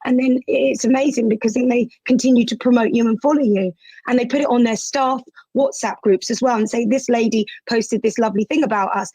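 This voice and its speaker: A woman's voice: fairly high pitch, mellow, husky, no room sound, low-quality recording. A woman on a video call, enthusiastically sharing an experience. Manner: enthusiastic, storytelling. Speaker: guest speaker.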